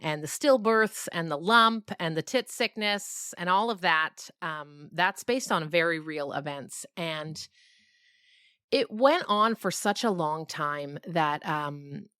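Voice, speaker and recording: A woman's voice: low-pitched, slow pace, pushed and bright, clean tone, no room sound, studio quality. A woman podcast creator chatting casually and informally, as if to friends. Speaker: podcast creator and host, being interviewed. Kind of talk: casual chat.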